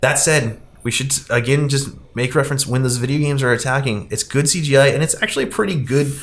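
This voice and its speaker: A man's voice: medium pitch, brisk. A man hosting a podcast, talking through a movie in an informal, rambling casual review style. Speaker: podcast host.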